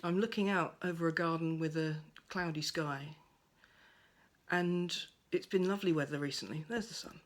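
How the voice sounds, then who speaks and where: A woman giving a gentle, thoughtful monologue at home by a window, her voice soft and at times close to a whisper. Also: low voice, moderate pace. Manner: soft-spoken, thoughtful, drifts into near-whispers. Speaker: pagan speaker sharing reflections. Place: at home by a window over a garden.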